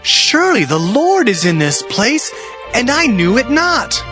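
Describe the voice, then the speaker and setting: A man's voice: very high voice, big pitch swings, a little slow, pushed and bright, full character voice. A man playing an earnest young biblical traveler, declaiming in a dramatized scripture reading. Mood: awed. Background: music.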